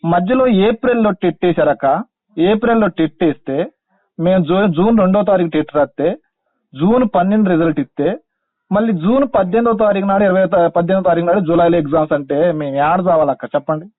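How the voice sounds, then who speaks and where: A man's voice: high voice, fairly quick. A young man with a Telangana Telugu accent making a respectful, insistent, pleading appeal over a tinny phone line. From Khammam district, Telangana, India. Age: young adult. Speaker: caller, teacher-exam candidate.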